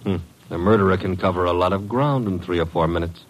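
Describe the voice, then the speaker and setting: A man's voice: low-pitched, fast talker, rough recording. An actor playing a cocky, wisecracking detective's legman, wry and slangy, in an old-time radio drama. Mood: wry, breezy.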